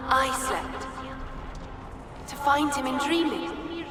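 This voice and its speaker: Echoing, high-voiced sorrowful spirit. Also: female voice. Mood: dazed, mournful. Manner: halting, fragmented, dreamy speech. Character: sorrowful confused female spirit echoing a dead woman's memories. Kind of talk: video game voice acting. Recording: cavernous echoing reverb, distant, music behind.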